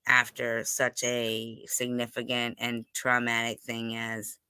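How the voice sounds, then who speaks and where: A man's voice: mid-range voice, monotone, slow pace, pushed and bright, clear, resonant tone, dry recording. A man hosting a casual online gossip interview, speaking insistently and repeating phrases for emphasis. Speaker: host of an online interview show.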